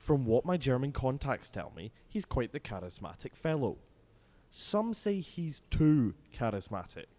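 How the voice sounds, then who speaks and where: A man's voice: mid-range voice, very animated, a little slow, mellow. A man playing a wary, businesslike vampire councillor in an audio drama, brusque, sceptical and exasperated.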